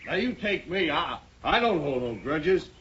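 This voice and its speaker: A sly crooked gunslinger, high and swinging in pitch. He wheedles with oily stammers, radio western style, on a noisy old recording. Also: big pitch swings, unhurried, fairly bright tone, lo-fi sound. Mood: wheedling. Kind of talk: 1950s radio western acting.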